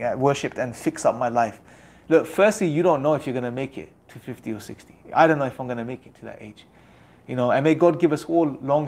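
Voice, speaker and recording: A man's voice: on the high side, darkish tone. Earnest young man exhorting listeners. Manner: earnest, exhorting, rhetorical repetition. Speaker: religious speaker. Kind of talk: motivational religious talk.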